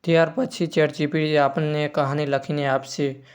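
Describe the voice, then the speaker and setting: A man's voice: fairly high pitch, level tone, normal speed, smooth. A man hosting an informal step-by-step tutorial, using repetitive instructional phrasing. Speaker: tutorial host.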